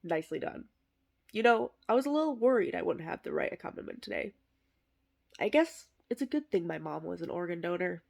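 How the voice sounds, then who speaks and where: A woman voicing a bitter, sardonic middle-aged male horse actor, delivering a comic eulogy monologue in a deadpan, wry way. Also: fairly low pitch, fairly quick, vocal fry. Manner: deadpan, self-deprecating, wry.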